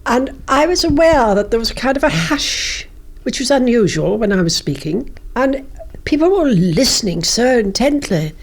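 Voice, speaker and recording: An older woman, a former politician, reminiscing in an interview in a measured, anecdotal way. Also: fairly high pitch, big pitch swings.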